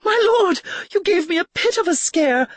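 Woman putting on a young noble lady in a storybook, way up high, breathless and pleading, loud, dry sound. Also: expressive, moderate pace, dry recording. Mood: frightened. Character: young noblewoman feigning panic, defiant.

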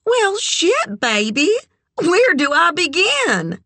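A woman's voice: very high voice, big pitch swings, takes it slowly, pushed and bright, no echo, voice pushed up, exaggerated voice. A woman voicing a brassy, worldly, amused Texan woman in an audiobook, sugary and frank, full of teasing endearments. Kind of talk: audiobook character dialogue.

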